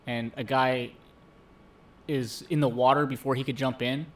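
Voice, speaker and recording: A man's voice: medium pitch, steady tone, normal speed, fairly bright tone, slight background noise. Earnest male co-host retelling a story. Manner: earnest, storytelling, many fillers. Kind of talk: casual chat. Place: home streaming setup.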